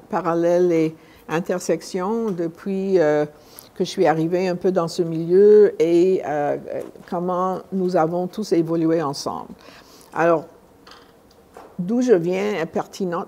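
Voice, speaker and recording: Older female guest lecturer, deep mellow register, informal and halting delivery with frequent rephrasing. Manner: halting, conversational, often repeats and rephrases words. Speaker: guest speaker at a conference.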